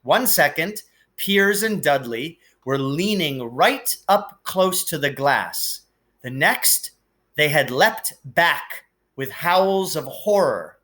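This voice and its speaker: A man narrates a children's novel in a bright, forceful voice pitched a little high. He takes his time, reading expressively with measured, deliberate phrasing. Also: animated. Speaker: storybook reader. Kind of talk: expressive audiobook-style narration.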